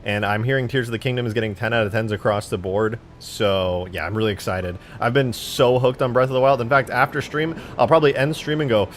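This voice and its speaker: A man's voice: mid-range voice, steady tone, brisk, few pauses, soft background music. A man in his twenties chatting casually on a live stream while modding a game, rambling, joking and swearing freely. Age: 20s.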